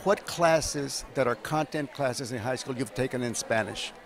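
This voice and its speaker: A man hosting a conference session, switching between Spanish and English and putting brisk, direct questions to students. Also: medium pitch, gravelly. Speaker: event host and interviewer. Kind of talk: bilingual event announcements and interviewing. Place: conference hall.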